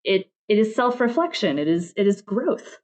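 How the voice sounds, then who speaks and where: A woman's voice: mid-range voice, sing-song, moderate pace, a little mellow. A woman talking casually as an interview guest about her creative work, often slipping into quoted asides. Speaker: interview guest, game narrative director. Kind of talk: casual chat.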